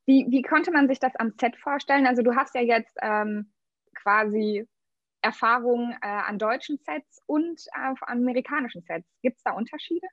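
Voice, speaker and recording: A woman interviewing in a friendly, chatty way, often pausing with hesitant fillers. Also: on the high side, no echo, muffled recording. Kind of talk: casual interview questions.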